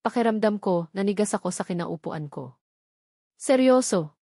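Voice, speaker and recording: A woman's voice: medium pitch, lively intonation, moderate pace, warm tone, no room sound, studio quality. A woman with a Filipino accent giving a scripted first-person narration of a romantic drama. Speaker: narrator of audio love stories.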